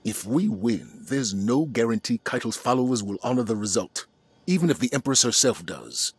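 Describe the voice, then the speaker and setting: A very raspy, burdened military commander. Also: male voice, medium pitch, animated, normal speed, no room sound. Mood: cautious. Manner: grave, restrained, authoritative. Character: burdened, principled male military commander, cautious and firm. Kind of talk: dramatic sci-fi game voice acting.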